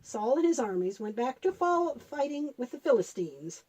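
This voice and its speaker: A woman's voice: high-pitched, very animated, normal speed, breathy edge, exaggerated voice. A woman voicing a patient grandmother puppet who explains and tells Bible stories to children. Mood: patient, instructive. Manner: explanatory, patient, gently instructive.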